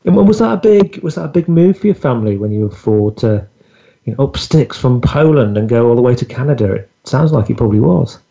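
A man's voice: medium pitch, animated, a little fast, gravelly, warm tone. A man hosting an interview podcast, chatting casually with plenty of fillers and restarts. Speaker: podcast host. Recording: dull lo-fi audio.

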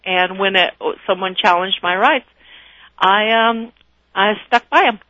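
A woman, a guest telling her story in a radio interview, lively and animated. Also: mid-range voice, unhurried, no echo, lo-fi sound. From Texas, raised in California.

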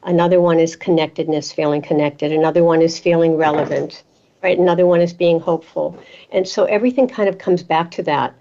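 Female radio host, lower voice, muffled lo-fi sound, steady interviewer. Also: a little fast, creaky. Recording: dull lo-fi sound.

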